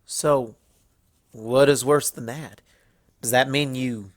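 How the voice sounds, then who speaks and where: A man voicing an earnest, hesitant female farm pony in a storybook reading, with plain, folksy, halting speech. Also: medium pitch, fairly even intonation, dry recording, clean studio sound, natural voice. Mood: hesitant, worried. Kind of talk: fan fiction storybook reading.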